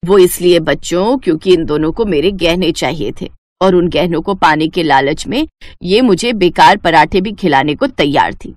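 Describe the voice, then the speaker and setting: A woman acts out an elderly mother-in-law from an animated moral tale. She is shrewd and stern, with a lowered voice and a dry, close sound. Every phrase is measured and carries authority. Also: no room sound, close to an everyday voice. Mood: stern, questioning. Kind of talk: animated moral story dialogue.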